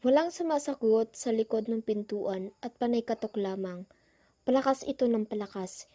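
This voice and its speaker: A woman's voice: fairly high pitch, moderate pace, dark tone, natural voice. A young woman playing the narrator who recounts a frightening island trip in a scripted radio drama. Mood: uneasy storytelling. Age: young adult.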